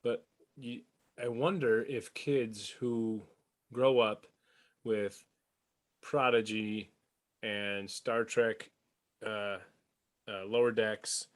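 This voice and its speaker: Male podcast moderator, slightly low pitch, informal, measured delivery with pauses. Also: steady tone. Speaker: podcast panelist who steers the discussion. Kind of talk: casual group chat.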